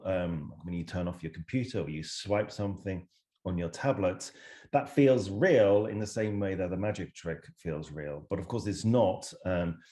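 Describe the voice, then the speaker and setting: A man's voice: low-pitched, mellow. A man from Switzerland, a researcher, explaining things thoughtfully in a casual interview over a video call, with hedging fillers. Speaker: psychology researcher and guest.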